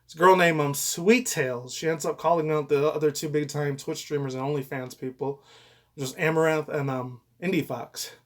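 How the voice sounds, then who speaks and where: A man's voice: a little high, fairly even intonation, normal speed, a bit bright. A young man giving rambling, casual commentary as a vlogger, slangy, digressive and full of swearing.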